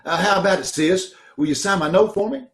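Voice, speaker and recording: A man's voice: high voice, fairly quick, lightly acted. A man playing an anxious younger brother begging for a loan, in old-time radio comedy style, from home on a video call. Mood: pleading. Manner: wheedling, anxious. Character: pleading broke younger brother. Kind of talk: 1930s radio comedy acting.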